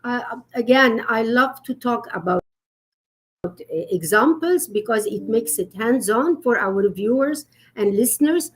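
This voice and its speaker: A woman's voice: fairly high pitch, expressive, a little slow, slightly rough. A woman speaking as an etiquette expert in a live-stream conversation, explaining earnestly with frequent fillers.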